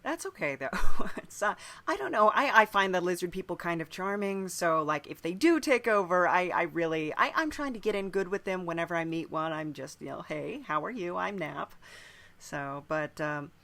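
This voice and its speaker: A man's voice: sits high, expressive, normal speed, creaky, pushed and bright. A man hosting a casual interview chat, speaking in a quirky, hesitant way and often trailing off. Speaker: podcast host, interviewer.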